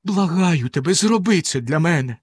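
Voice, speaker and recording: A desperate young wife in a storybook, begging and calling out in a high, strained voice, as a male narrator puts her on. The sound is close and dry. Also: expressive, moderate pace, slightly rough, no echo. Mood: pleading. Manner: high, pleading, tearful voice.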